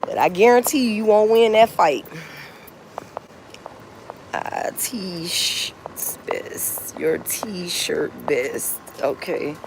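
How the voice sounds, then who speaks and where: A woman in her forties talking live to her viewers from outside on a phone, with a low voice and faint noise around her. She is blunt and repetitive, swearing freely and laughing often. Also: lively intonation, extremely slow. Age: 40s. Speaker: livestreamer. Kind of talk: casual live-stream chat with viewers. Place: outdoors.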